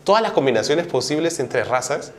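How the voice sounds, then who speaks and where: A man's voice: a little high, very animated, brisk. Wry Peruvian man explaining casually. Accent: Peruvian Spanish. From Peru. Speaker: interviewee.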